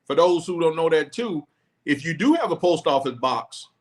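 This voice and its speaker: A man's voice: fairly high pitch, expressive, normal speed. A man hosting a casual podcast chat, explaining things at length in a loose, rambling way with frequent restarts. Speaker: podcast host; former law enforcement officer.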